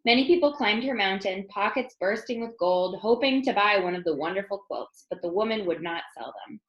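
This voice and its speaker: A woman reading a children's storybook aloud in an expressive storytelling style. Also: medium pitch, fairly quick, reverberant, dull audio. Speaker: storybook reader.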